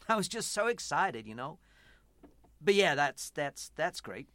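An actor in a radio play playing a smooth-talking evangelical pastor with a persuasive, salesman-like manner and an uneasy conscience. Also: fairly high pitch, sing-song, unhurried, sharp tone, dry recording, exaggerated voice. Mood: excited, persuasive. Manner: stammering, salesman-like persuasion, swelling into preaching. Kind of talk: radio drama acting.

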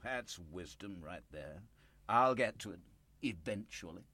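A man's voice: lowish voice, expressive, unhurried, sharp tone, dry recording, exaggerated voice. An actor in a radio play playing a bitter, self-pitying older man, folksy and sardonic. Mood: folksy, needling. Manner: folksy, sardonic, swinging from apologetic to accusing.

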